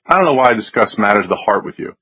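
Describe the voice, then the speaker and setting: A man as a wry, husky wisecracking everyman. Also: on the low side, animated, racing, a bit bright, dry recording, lo-fi sound. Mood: exasperated. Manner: sarcastic, plain-spoken. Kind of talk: audiobook character voice.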